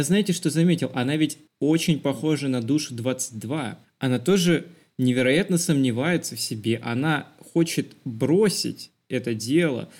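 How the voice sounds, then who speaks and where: Male podcast co-host, medium pitch. Casual, reflective film talk, explains things and addresses the audience. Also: darkish tone.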